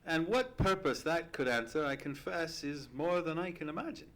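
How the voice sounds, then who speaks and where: Actor as radio-drama gentleman sidekick: earnest, puzzled, formal, middle register, very varied intonation. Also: mid-range voice, lively intonation, normal speed. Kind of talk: old-time radio play acting. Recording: live stage radio play recording.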